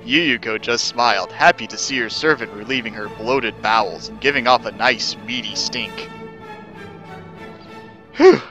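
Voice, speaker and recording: Bright-voiced man reading a story dramatically. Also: mid-range voice, moderate pace, hardly any pauses, faint music behind. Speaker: narrator of a fan fiction reading. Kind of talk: mock-serious dramatic reading of comic fan fiction.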